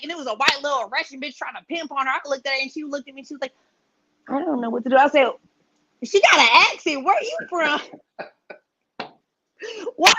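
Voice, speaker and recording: A woman with a high voice tells a story in loose, profane podcast chat. She is animated and acts out the people she quotes. The audio sounds a little dull and lo-fi. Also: slight background noise. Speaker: guest.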